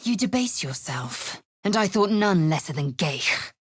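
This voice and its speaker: Fierce alien warrior woman, raspy, unhurried, imperious, disdainful, clipped formal phrasing, video game character. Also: on the low side, sing-song, dry recording, studio quality, full character voice. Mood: proud, scornful. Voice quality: husky, raspy.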